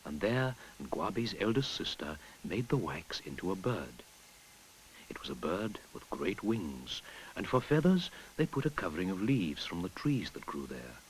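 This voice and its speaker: A man narrating a folktale in an expressive storytelling manner, sometimes dropping to a whisper. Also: low-pitched, measured pace, slight background noise, rough recording. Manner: expressive storytelling, drops to a whisper at hushed moments. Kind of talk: storybook narration over music. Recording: narration with clear music behind.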